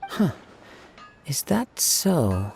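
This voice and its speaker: Gravelly, courteous male investigator. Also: mid-range voice, sing-song, a little hiss or hum, exaggerated voice. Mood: measured. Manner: polite, measured, formal. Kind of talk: video game cutscene acting. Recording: faint music under voice.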